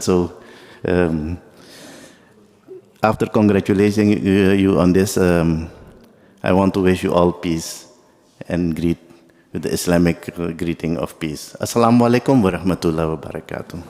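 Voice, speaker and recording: A man from Suriname whose first language is Dutch, giving a halting ceremonial speech in English with many fillers. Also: deep voice, fairly even intonation, darkish tone. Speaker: guest speaker. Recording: long hall reverb.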